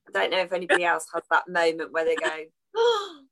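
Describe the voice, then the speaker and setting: A woman's voice: on the low side, wildly swooping pitch, a little fast, crowd noise. A woman in her thirties co-hosting a casual live podcast, chatty and given to laughing. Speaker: podcast co-host.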